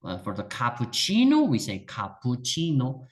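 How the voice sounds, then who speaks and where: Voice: mid-range voice, wildly swooping pitch, moderate pace. A native Korean speaker with a Korean accent, teaching a beginner one-on-one in a patient, encouraging way and repeating words for emphasis. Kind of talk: one-on-one language lesson.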